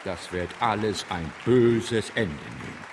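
Gloomy doubter, deep-ish swooping voice, echoey hall with crowd. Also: male voice, big pitch swings, slow pace, gravelly, noisy background, heavily acted. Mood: gloomy, doubting. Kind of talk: German radio drama acting. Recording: reverberant hall, crowd and music.

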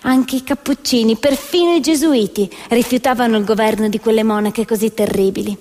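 High-voiced woman storyteller in a reverberant hall. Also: steady tone, a little fast, a little mellow. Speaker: stage actress narrating. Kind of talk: theatrical scripted storytelling, satire.

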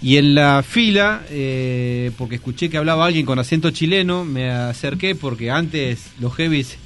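A man's voice: fairly high pitch, moderate pace, slight background noise. A man of about forty with an Argentine accent, chatting casually as a radio show host. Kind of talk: casual studio chat about metal bands. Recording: loud music under the voices. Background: music.

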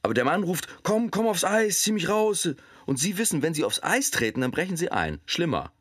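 Insistent orator, radio drama, rhetorical, husky, lively intonation. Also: male voice, high voice, a little fast, no room sound, heavily acted. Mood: insistent, urgent. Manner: insistent, rhetorical, addressing listeners directly. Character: forceful man arguing a hard moral case in a speech.

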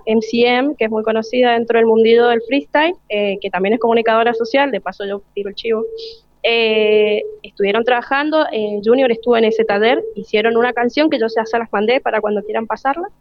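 Argentine lady teacher chatting on radio, highish voice, slightly dull lo-fi sound, dry. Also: brisk, no room sound. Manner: colloquial, explanatory. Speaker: school teacher. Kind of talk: informal spoken explanation in a radio interview.

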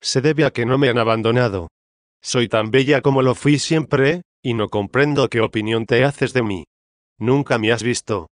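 Un hombre que pone voz al espíritu de una reina difunta, orgullosa y desdeñosa, que responde con altivez. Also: male voice, mid-range voice, normal speed, dry recording, clean studio sound, lightly acted. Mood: indignant. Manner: disdainful, imperious. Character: haughty dead queen's spirit, woman, proud, scornful.

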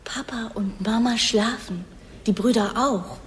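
A woman plays a dreamy, girlish stage character in a radio play. Her voice is fairly high and hushed, telling her tale theatrically over faint background noise. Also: a little slow, lightly acted. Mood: hushed, secretive. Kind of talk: German radio drama acting.

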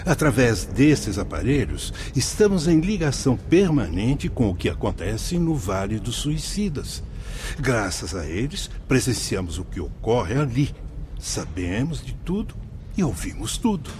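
A Brazilian man playing an earnest, kindly spirit guide in a radio drama, speaking in a formal, measured, instructive way. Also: mid-range voice, very animated, darkish tone, a little hiss or hum. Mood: patient explaining. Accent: Brazilian Portuguese.

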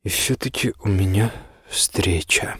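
A man's voice: low voice, fairly even intonation, normal speed, breathy edge, no room sound, clean studio sound. A man voicing a sick, exhausted and stubborn young earl in an audiobook, speaking in a weary, curt way. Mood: drowsy, stubborn.

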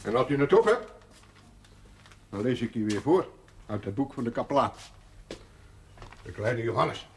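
An actor playing a fussy, jolly older man with a husky, weathered voice who cares for someone in a television drama. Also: mid-range voice, sing-song, darkish tone, a little hiss or hum. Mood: jovial, fussing. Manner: slow, theatrical, self-mocking, breaks into song. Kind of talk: television period drama acting.

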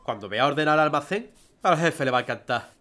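A man's voice: fairly high pitch, animated, quick pace, sharp tone. A man playing a confident, teasing workshop manager in an audio drama, with a Spanish accent from Spain. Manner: teasing, self-assured. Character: cheeky, confident male framing workshop manager.

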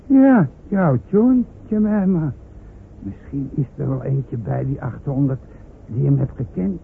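An actor playing a timid, bumbling old villager who stammers and hesitates, in a radio drama. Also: male voice, mid-range voice, lively intonation, unhurried, vocal fry. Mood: urgent, earnest. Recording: tinny, noisy old radio recording.

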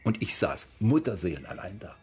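An actor playing a wry former soldier who recounts his past in colloquial German radio drama monologue, with a gravelly, husky voice. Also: sits low, big pitch swings, brisk, darkish tone, full character voice. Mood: tense recollection.

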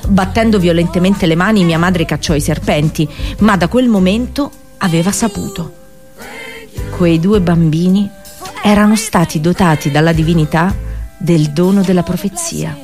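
An actress playing an accursed princess and prophetess in a radio drama monologue, with a solemn, intense storytelling delivery. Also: female voice, lowish voice, moderate pace, darkish tone, close to an everyday voice. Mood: wistful narrating. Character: Cassandra, bitter accursed Trojan princess and prophetess. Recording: voice over background music. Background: music.

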